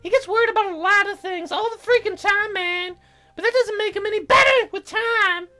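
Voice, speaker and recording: A man's voice: extremely high pitch, brisk, pushed and bright, soft background music, voice pushed up. A man voicing a perky, cheeky teenage cloud fairy girl with a sassy, casual, boastful way of talking, for a video game. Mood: huffy.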